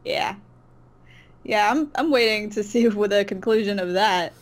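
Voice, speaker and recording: Woman chatting informally, medium pitch. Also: a little slow. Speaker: interview guest, YouTube voice artist. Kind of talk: casual chat, personal anecdote.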